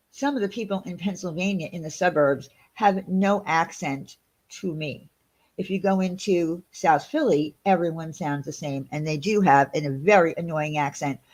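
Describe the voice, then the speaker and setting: Chatty Long Island woman on a livestream. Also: on the low side. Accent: Long Island New York. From Long Island, New York. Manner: chatty, indignant, rambling with many fillers. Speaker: livestream host reading chat. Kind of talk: casual livestream chat.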